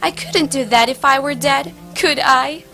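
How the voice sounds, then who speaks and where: Woman acting a bright, high naive nun. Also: measured pace, faint music behind, heavily acted. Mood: naive, amused. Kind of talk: amateur stage play acting.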